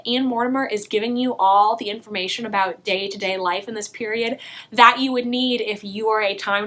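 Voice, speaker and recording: Young woman, book vlogger, slightly hoarse, bright tone, chatty and eager. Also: medium pitch. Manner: chatty, enthusiastic, quick asides. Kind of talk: casual book haul vlog.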